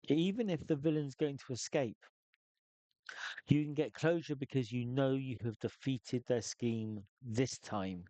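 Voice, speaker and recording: A man talks through solo tabletop game advice at a middling pitch, thinking aloud and restarting his sentences often. The sound is dry and close, with little room around it. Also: a little mellow, dry recording. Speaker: solo tabletop game player giving tips. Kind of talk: casual spoken advice.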